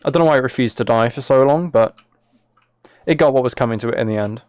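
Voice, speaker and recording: Clear-voiced young British man, casual streamer. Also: medium pitch, quick pace, a little mellow. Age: late teens to early 20s. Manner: playful mock-exasperation, wry sarcasm. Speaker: live streamer. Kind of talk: casual gaming livestream chat, commentary.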